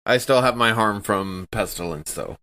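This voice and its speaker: A man's voice: on the low side, lively intonation, normal speed, bright, forceful tone, slight background noise. A man playing in a tabletop game podcast, improvising his character's story and chatting at the table.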